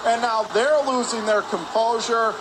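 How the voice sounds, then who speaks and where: A man's voice: very high-pitched, steady tone, unhurried. A man doing live radio play-by-play of ice hockey in short, emphatic running phrases. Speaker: play-by-play commentator. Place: indoor ice hockey arena. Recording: noisy arena ambience behind.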